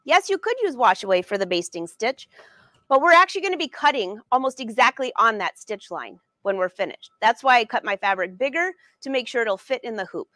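Brief: woman in her 40s, sewing teacher on a livestream, friendly and chatty, slightly high voice, dry close sound. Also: animated, a little fast, sharp tone, no echo. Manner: chatty and upbeat, addresses viewers by name. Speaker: sewing educator and brand ambassador. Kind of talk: live-streamed sewing lesson answering viewer questions. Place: sewing studio indoors.

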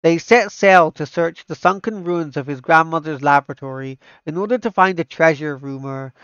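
A man with a smooth, fairly high voice, talking casually as a streamer. The sound is dry and close, slightly dull. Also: normal speed, fairly bright tone, no room sound. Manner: enthusiastic, repeats himself. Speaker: streamer reacting to anime trailers. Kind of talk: casual livestream commentary.

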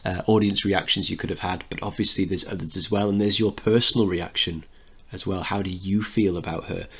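A man's voice: low-pitched, steady tone, brisk, a bit bright, slightly rough. A man teaching a recorded literature lesson, explaining a play and posing questions to students. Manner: explanatory, checks understanding with questions.

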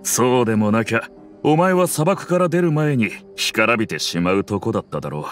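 A man's voice: fairly low pitch, big pitch swings, a little fast, soft background music. An actor voicing a bitter, resolute male mercenary veteran in a game dub, recounting events earnestly.

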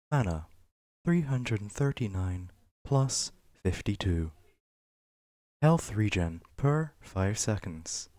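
A man reading reference text aloud for ASMR in a measured, list-like way, with pauses between items. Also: fairly low pitch, darkish tone, dry recording, studio quality. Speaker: ASMR reader. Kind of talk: ASMR reading of a game wiki page.

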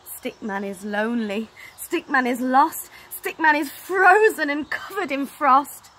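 A woman storyteller reads to children with animated, dramatic delivery. Her voice is fairly high and bright, taken at an unhurried pace. She is outdoors on an open deck. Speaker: storytelling teacher. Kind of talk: expressive children's story reading. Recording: outdoor recording, clear background noise.

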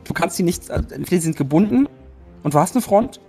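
Male player, fast and insistent, a bit higher voice, faint music. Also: lively intonation, gravelly, dark tone, husky. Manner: quick, insistent objections. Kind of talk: casual strategy discussion.

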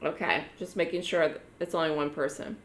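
A hoarse woman giving a live online trading lecture to her trading room, chatty and repeating words for emphasis. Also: low voice, fairly even intonation, a little fast, roomy sound, slight background noise. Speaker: trading educator running a trading room.

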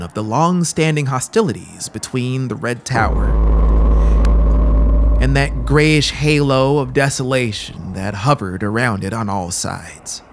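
A man in his thirties narrating a horror audiobook in a theatrical, deliberate, emphatic way, close to a studio mic. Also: medium pitch, a little hiss or hum. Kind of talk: dramatic horror story narration. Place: small brick-walled studio room indoors. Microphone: close broadcast mic on a boom arm.

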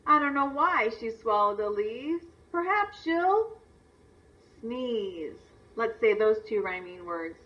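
A woman's voice: on the high side, sing-song, slow pace. Distant, dull-sounding woman teaching young children. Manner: instructional, repeats and stresses words, asks simple questions. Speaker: teacher reading a picture book aloud to young children. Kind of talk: children's read-aloud lesson. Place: video lesson played on a screen and re-recorded. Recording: distant, dull replay through a screen's speaker.

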